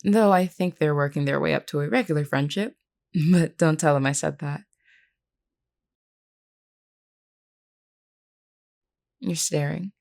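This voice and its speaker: A woman performs a vampire queen in an intimate audio roleplay. Her voice sits low for a woman and has a clear creak to it. She talks to one listener in measured, formal words, gentle and candid. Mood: warm, wry. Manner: measured, reassuring, formally worded.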